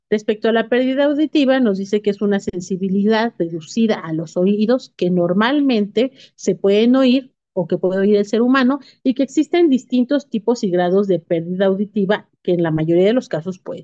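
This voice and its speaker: Woman giving a calm lecture. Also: mid-range voice, no room sound, dull audio. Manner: steady explanatory, with fillers and self-corrections. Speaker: teacher.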